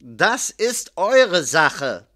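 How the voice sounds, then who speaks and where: A performer voicing a stern, gruff male inquisitor who questions tersely and commandingly in an audiobook story. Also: medium pitch, big pitch swings, measured pace, bright, forceful tone. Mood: stern questioning.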